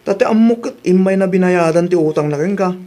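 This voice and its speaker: A man's voice: high voice, a little fast, mellow, natural voice. A man playing a grumbling, money-minded village husband in a radio comedy drama, questioning and nagging.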